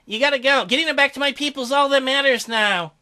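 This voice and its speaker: A man voicing a weakened young merman who speaks in halting, pleading phrases. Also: very high-pitched, bright, forceful tone, pitched above the performer's own voice, exaggerated voice.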